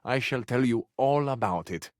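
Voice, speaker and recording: A man's voice: mid-range voice, moderate pace, no echo, clean studio sound, natural voice. A man voicing a flamboyant, hopelessly romantic Italian charmer in a video game, with an Italian accent and a florid, amorous delivery that savors each phrase. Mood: rapturous, inviting. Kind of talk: theatrical video game character acting.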